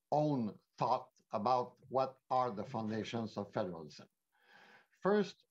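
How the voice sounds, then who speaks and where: An older man with a Quebec French accent lectures in a measured, explanatory way, pausing often on fillers. His voice sits at an ordinary pitch. The sound is muffled and dull, as from a headset on a video call. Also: darkish tone. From Quebec City, Canada. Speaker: panelist, former minister. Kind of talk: formal bilingual lecture. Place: home office.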